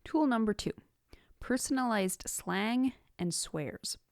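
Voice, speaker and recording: A woman podcast host reading a scripted instructional piece in a measured, articulate way, close to the mic. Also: fairly high pitch, lively intonation, no echo. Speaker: writing podcast host and author.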